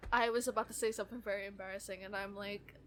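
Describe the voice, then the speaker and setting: A woman's voice: medium pitch, fairly even intonation, quick pace. A woman chatting casually as a podcast co-host, with a playful, wry manner. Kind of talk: casual fan discussion.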